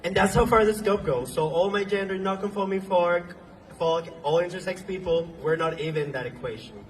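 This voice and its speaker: Young male rally speaker, earnest, a bit halting, slightly high voice, phone-recorded from afar outdoors. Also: far from the mic, poor audio. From Venezuela. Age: young adult. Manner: earnest, halting at times, with ums and restarts. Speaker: speaker at a protest march. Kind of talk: impassioned rally speech. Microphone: phone recording from the crowd.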